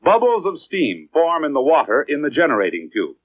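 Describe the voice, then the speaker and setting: An American man reads scripted 1950s educational film narration in a measured, clearly enunciated, instructional manner. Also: on the high side, wildly swooping pitch, no echo. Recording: dry, dull lo-fi 1950s film soundtrack.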